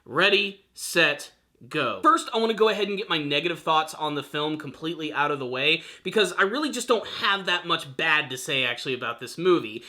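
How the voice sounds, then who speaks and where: A man's voice: on the high side, expressive, a little fast, sharp tone. A man giving an enthusiastic, emphatic video review commentary as the host, speaking straight to viewers. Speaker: film reviewer, channel host.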